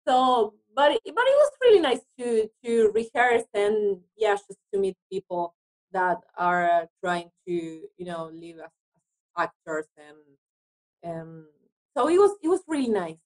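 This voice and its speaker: A woman's voice: mid-range voice, sing-song, unhurried, rough recording. A woman from Argentina, a native Spanish speaker with an Argentinian accent, talking conversationally as an interview guest about her work as an actress.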